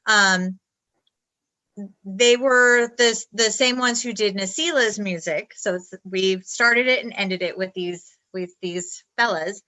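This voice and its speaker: A woman with a bright, forceful tone co-hosts a live stream. She talks casually but haltingly, stopping and restarting her sentences as she goes. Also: medium pitch. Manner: halting, frequent restarts and fillers. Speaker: co-host of a dance talk stream.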